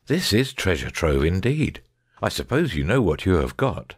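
A man's voice: low voice, big pitch swings, moderate pace, husky, no echo, studio quality, full character voice. A man playing a brilliant, self-assured detective in a dramatic audiobook, speaking in a precise, didactic way. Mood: precise, intent.

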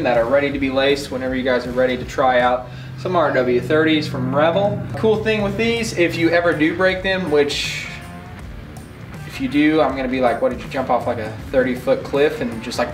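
A man's voice: fairly high pitch, normal speed. A man in his twenties giving a casual, slangy, enthusiastic product tour to camera inside a shop. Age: 20s. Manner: laid-back, slangy, enthusiastic. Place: indoors in a bike shop. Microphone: camera a few feet away. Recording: roomy echo, distant mic, music behind.

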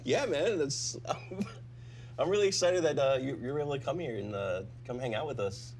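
A man in his forties hosting a live stream from a studio set, easygoing and quick to agree and laugh. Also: medium pitch, a little mellow, slight background noise. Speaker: community manager host. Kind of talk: casual live-stream chat.

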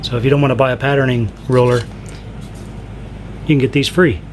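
A man's voice: medium pitch, steady tone, fairly quick, a little hiss or hum. A middle-aged man talking casually as he cuts and sews canvas at home, explaining each step and speaking frankly about his troubles. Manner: plainspoken, frank, talks while doing hands-on work. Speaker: sailing channel host. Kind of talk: casual how-to narration while working. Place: indoors in a home, kitchen and living room.

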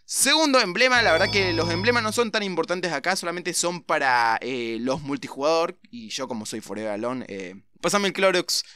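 A young man with a Latin American Spanish accent giving casual, excitable, repetitive let's play commentary as a gaming host. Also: a little high, moderate pace, few pauses, background noise. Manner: excitable, repetitive, mild swearing. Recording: loud game music behind voice. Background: video game music and sounds.

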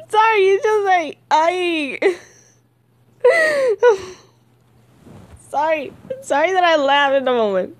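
A woman's voice: very high voice, very animated, slow pace, long pauses. A woman dubbing comics as an amateur, chatting casually, swearing and giggling at herself. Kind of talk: casual fan comic dub with chatty asides.

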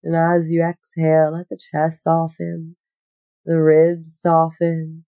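A woman guiding a meditation in a gentle, unhurried, soothing manner with long pauses. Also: sits low, completely flat delivery, dry recording. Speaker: yoga nidra meditation guide. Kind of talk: guided meditation.

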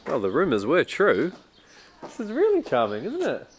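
A man in his thirties vlogging on a trip, chatty and excitable, with bursts of loud enthusiasm. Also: a little high, normal speed, a little hiss or hum. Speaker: travel vlogger. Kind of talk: casual travel vlog chat. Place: outdoors on a windy island and village streets. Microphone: camera mic, handheld selfie framing.

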